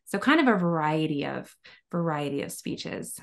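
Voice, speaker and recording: A woman guest on a podcast, telling stories in a chatty, lively way with lots of fillers. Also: low-pitched, normal speed, vocal fry. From United States. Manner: chatty, animated storytelling with many fillers. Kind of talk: casual interview chat.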